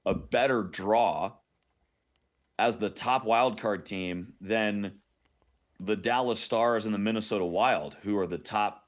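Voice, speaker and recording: A man speaks as a guest on sports radio. His voice is on the low side, and he gives casual commentary as a play-by-play announcer would in an interview. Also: takes it slowly.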